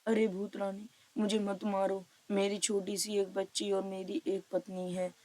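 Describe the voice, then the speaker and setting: A woman's voice: mid-range voice, flat delivery, unhurried, dark tone, close to an everyday voice, poor audio. Pleading, frightened village man acted by a woman. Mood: terrified pleading. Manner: pleading, repetitive begging.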